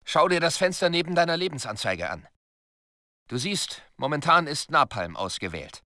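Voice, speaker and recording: A man's voice: fairly high pitch, very animated, normal speed, sharp tone, no echo, clean studio sound. A man voicing a stern military commander in a video game, giving curt, commanding instructions. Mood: brisk, instructing. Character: stern male military commander briefing a soldier.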